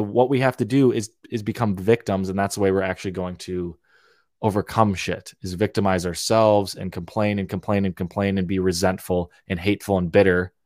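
A man's voice: on the low side, a little mellow, dry recording, clean studio sound. A young man, born in Romania, hosting a podcast in a heated, swearing rant full of restarts and repeated words. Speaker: podcast host. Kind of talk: opinionated monologue.

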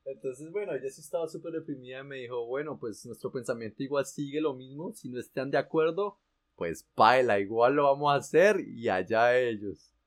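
A man with a Colombian accent recounts his story in a casual, animated way. He drops slang and mild swears freely. His pitch sits in the middle range for a man. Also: brisk. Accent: Colombian Spanish. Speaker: guest telling his story.

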